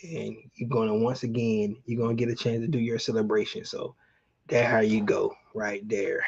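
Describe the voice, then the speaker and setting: Sports stream host: middle-aged man, relaxed mellow voice, colloquial fan chat, slightly muffled lo-fi audio. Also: lowish voice, moderate pace, gravelly, husky. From Atlanta, Georgia, United States. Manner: conversational, addresses viewers directly, colloquial. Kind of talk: casual fan chat, reminiscing about sports.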